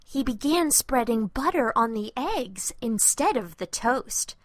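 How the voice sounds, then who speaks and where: Very slow woman storybook narrator. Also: on the high side, lively intonation, no room sound. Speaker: children's story reader.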